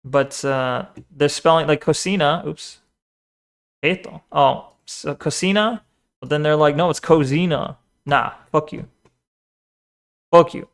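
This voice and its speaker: Brief: animated male streamer, a bit high-pitched, bantering with chat. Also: measured pace, vocal fry, pauses often. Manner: animated, swears, talks back to the screen. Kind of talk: casual live-stream chat and video reaction.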